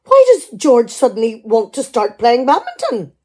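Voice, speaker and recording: Woman playing a dry, teasing wife, mellow. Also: fairly high pitch, wildly swooping pitch, moderate pace, husky, exaggerated voice. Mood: exasperated, suspicious. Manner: dry, bantering, probing. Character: teasing, shrewd, practical middle-aged wife. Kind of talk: amateur radio play dialogue.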